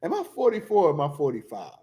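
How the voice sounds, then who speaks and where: A man, talking loosely and excitedly about basketball as a podcast co-host in casual chat. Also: fairly high pitch, quick pace. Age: 40s.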